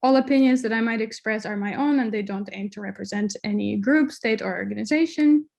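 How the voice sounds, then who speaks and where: A woman's voice: fairly high pitch, fairly quick, gravelly, darkish tone. A woman from Azerbaijan moderating an online meeting over a video call, delivering an earnest prepared introduction.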